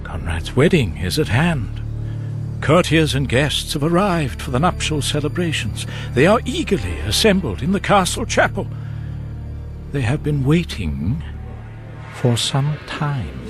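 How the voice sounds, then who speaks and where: Slow, theatrical gothic storyteller. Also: male voice, fairly high pitch, very animated. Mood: measured, teasing. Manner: deliberate, theatrical. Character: measured, ominous gothic storyteller. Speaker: actor narrating a radio drama. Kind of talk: dramatic storytelling narration. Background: music.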